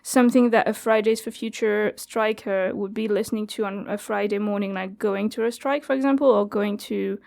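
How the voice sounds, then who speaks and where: A woman's voice: medium pitch, fairly even intonation, normal speed, gravelly, few pauses, a little mellow. A French woman in her twenties, chatting casually as an interview guest, with frequent laughs and fillers. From France. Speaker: guest, youth radio studio coordinator.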